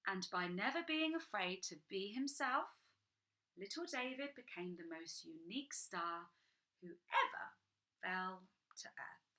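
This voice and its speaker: A woman's voice: medium pitch, animated, measured pace, pushed and bright. A young woman reading a picture book to children, breaking off for chatty, enthusiastic asides. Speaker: children's storytime reader.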